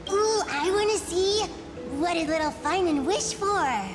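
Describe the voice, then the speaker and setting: Excitable, squeaky little child. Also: female voice, unhurried. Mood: excited, curious. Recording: long reverb under music.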